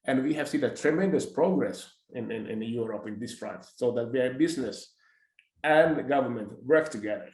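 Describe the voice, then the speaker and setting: A man from Finland, an interviewer, making a counterpoint slowly with many hesitations and repeated words. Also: medium pitch, lively intonation, a little mellow, echoey room, rough recording. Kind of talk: measured discussion.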